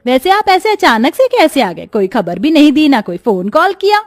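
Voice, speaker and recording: A woman's voice: high voice, big pitch swings, moderate pace, dry recording. A woman playing a cruel, two-faced middle-aged stepmother in a moral story, with a nagging, domineering manner. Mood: falsely sweet. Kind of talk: animated Hindi moral story acting.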